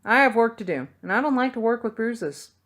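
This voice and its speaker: A woman's voice: on the high side, animated, brisk, a bit bright, voice pushed up. A woman voicing a cocky, sardonic young male thief in an audiobook, dry and needling. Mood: dry, mocking.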